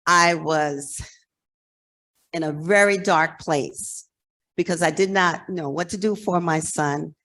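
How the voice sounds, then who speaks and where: A woman's voice: deep voice, takes it slowly, fairly bright tone. An American woman in her sixties giving a conference presentation in a frank, emphatic, conversational way. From New Jersey, United States. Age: 60s. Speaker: presenter, nonprofit executive director.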